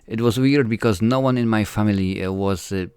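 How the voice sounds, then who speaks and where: A man's voice: lowish voice, brisk, a little mellow, smooth, dry recording. A man in his forties from Poland with a Polish accent, a filmmaker answering interview questions in a hesitant way, with many fillers and restarts. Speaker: filmmaker guest.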